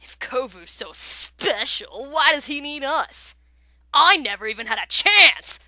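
A woman voicing a jealous, over-excitable young male lion in a cartoon, whining and frantic. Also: high-pitched, a little slow, no room sound, exaggerated voice. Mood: sulky, whining.

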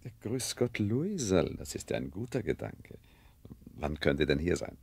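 Flustered radio play dad, really low raspy voice, nervous and stammery. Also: male voice, a little slow, dry recording, heavily acted. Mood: brisk, excited. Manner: hesitant, excitable, breaking into nervous stammers. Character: flustered divorced father, a musician, urgent and nervous.

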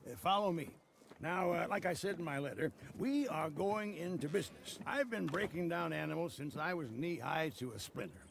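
A man playing a grizzled old American frontier trader in a video game, folksy and rambling like a salesman, with a gravelly voice. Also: fairly high pitch, lively intonation, moderate pace, a little hiss or hum. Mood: eager, folksy. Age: older. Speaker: game character actor. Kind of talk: video game cutscene acting.

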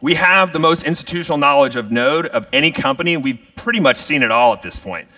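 A man's voice: fairly high pitch, lively intonation, fast talker, pushed and bright, no echo, recorded from a distance, rough recording. A middle-aged man giving a polished, upbeat product keynote on a large conference stage. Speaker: keynote speaker.

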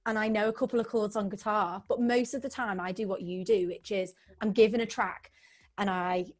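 A woman's voice: mid-range voice, fairly even intonation, fairly quick, a little mellow. A young British woman hosting an interview over a video call, asking long rambling questions with many fillers. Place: home room indoors. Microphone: video call webcam.

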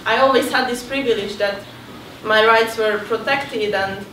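Young woman, earnest halting talk, higher voice, distant and roomy, noisy hall. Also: a bit bright. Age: young adult. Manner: hesitant, earnest, many fillers. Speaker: student presenter. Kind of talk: informal panel talk. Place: dim hall with seated audience.

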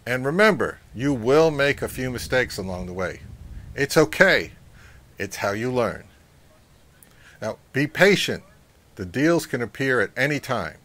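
A middle-aged man giving advice to camera as a channel host, speaking in a measured, instructive way. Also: medium pitch, big pitch swings, fairly bright tone. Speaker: host of a vintage audio channel. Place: outdoors, among trees or on a porch.

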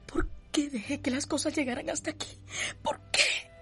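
An actress playing a distraught young unmarried mother from a wealthy household, halting and melodramatic in a radio soap opera. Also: high voice, big pitch swings, creaky, sharp tone, soft background music, exaggerated voice. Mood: anguished.

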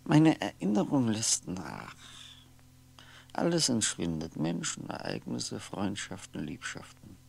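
Gravelly, brooding, lonely aging man. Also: fairly low pitch, big pitch swings, full character voice. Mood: melancholy, searching. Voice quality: gravelly, often sinking into breathy whisper. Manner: halting, brooding, fragmented musing with pauses. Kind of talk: 1960s radio drama monologue. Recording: 1960s radio recording, faint noise.

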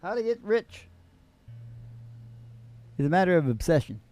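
A man's voice: high voice, big pitch swings, normal speed, a little mellow. An older man chatting casually as a podcast host, rambling in a folksy, motivational way. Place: small curtained stage, indoors. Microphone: stand microphone. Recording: live mic with acoustic guitar. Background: acoustic guitar.

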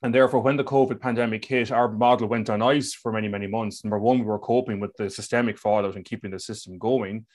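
A man's voice: on the low side, fairly even intonation, fast talker, hardly any pauses, a little mellow. A middle-aged man with an Irish accent, speaking emphatically as a webinar panelist over a headset. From Ireland. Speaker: education leader, webinar panelist. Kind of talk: explanatory panel talk. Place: indoors on a video call.

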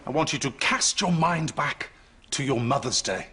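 A man's voice: mid-range voice, expressive, moderate pace, bright, forceful tone. A middle-aged man playing an earnest, fragile counsellor in a sitcom. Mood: patient, earnest. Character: earnest male counsellor who turns tearful over his mother. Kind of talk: sitcom acting. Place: living-room studio set. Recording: studio audience noise behind.

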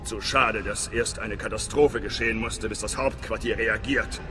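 A man's voice: lowish voice, steady tone, moderate pace, lightly acted. Theatrical middle-aged spy chief acted by a man, echoing. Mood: jovial, wry. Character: hearty, wry veteran spy station chief, big man. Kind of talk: video game voice acting. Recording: long reverb, game music behind.

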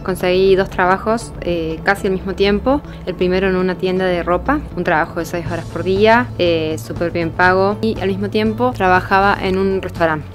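A young woman, talking to camera in a measured way with small pauses. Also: on the low side, fairly even intonation, over music. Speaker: working holiday traveler. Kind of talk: casual testimonial to camera. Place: outdoors by a hedge.

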